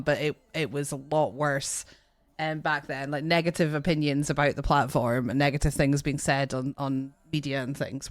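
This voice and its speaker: A woman's voice: low voice, no room sound. A woman hosting a casual podcast interview, asking friendly questions with frequent restarts and laughs. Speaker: podcast host.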